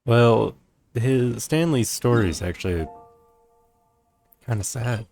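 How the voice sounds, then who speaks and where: A man giving casual, low-key commentary on a video game as he plays, musing to himself. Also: slow pace, vocal fry, darkish tone, faint music behind. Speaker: gameplay streamer.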